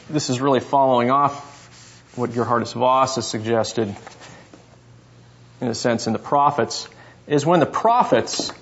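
A man's voice: medium pitch, highs cut off, slight background noise. A man teaching a seminary class, explaining step by step and often checking in with his listeners. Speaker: seminary professor. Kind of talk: classroom lecture on scripture.

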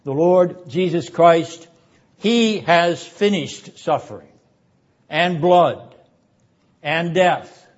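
Slow, solemn man preaching, muffled recording. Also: high voice. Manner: solemn, incantatory, with repeated phrases and deliberate pauses. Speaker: seminary dean and Bible teacher. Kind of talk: prayerful, rhetorical sermon lecture.

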